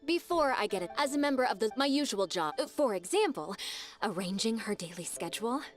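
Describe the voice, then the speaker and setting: An earnest, efficient female assistant played by an actress with a fairly high, very animated voice. She speaks in a businesslike game-dialogue style, with faint music behind. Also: brisk, close to an everyday voice. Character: busy, dutiful woman assistant, earnest and efficient. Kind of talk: video game voice acting.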